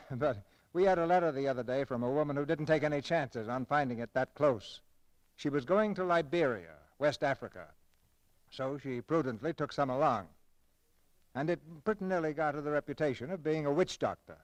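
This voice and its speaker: A man's voice: fairly high pitch, animated, normal speed, no echo, low-quality recording. A man hosting a radio theatre in scripted 1940s style, formal and theatrical in his delivery. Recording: old radio broadcast, music behind.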